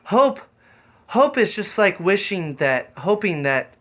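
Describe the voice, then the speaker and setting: A man with a fairly high, very bright and forward voice talks off the cuff on a livestream. He rambles as host, circling back over his own phrases in a casual way. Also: sing-song, takes it slowly. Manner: rambling, easily distracted, repeats phrases. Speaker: live-streaming host. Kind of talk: improvised casual livestream talk.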